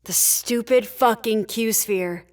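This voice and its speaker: A woman's voice: a little high, takes it slowly. A woman playing a no-nonsense spaceship leader in a sci-fi audio drama, firm, decisive and curt. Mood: frustrated.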